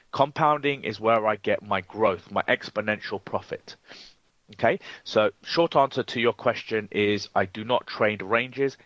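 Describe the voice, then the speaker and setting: Man with a cold, lowish voice, halting with many ums, dry dull webinar audio. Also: a bit bright, no echo. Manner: hesitant, many ums and restarts. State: has a cold, sniffly. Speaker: trading webinar presenter. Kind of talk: live webinar presentation.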